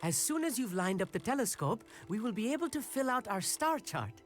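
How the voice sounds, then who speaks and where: A man's voice: sits high, sing-song, fairly quick, a bit bright. An actor playing an eager, bookish young male student who loves astronomy, earnest and a little pompous. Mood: instructive. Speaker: game character. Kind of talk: video game voice acting. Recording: game audio with faint music.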